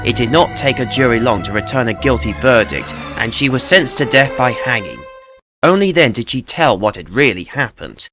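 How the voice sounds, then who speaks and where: A man narrating a true tale as scripted, dramatic storytelling in a measured, grave manner. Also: medium pitch, expressive, music in the background.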